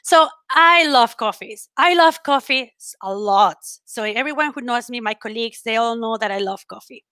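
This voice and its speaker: Bright, high-voiced Venezuelan woman. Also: normal speed, dry recording, studio quality. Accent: Venezuelan Spanish. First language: Spanish. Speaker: panel speaker. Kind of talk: personal storytelling in an online talk. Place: indoor room at home, on a video call.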